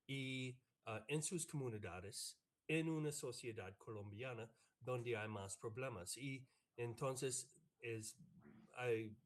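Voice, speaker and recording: Slow halting American man on a video call. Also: medium pitch. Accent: American English. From United States. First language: English. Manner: halting, many fillers and restarts. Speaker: professor. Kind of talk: academic panel answer. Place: online video meeting. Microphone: computer mic on a video call.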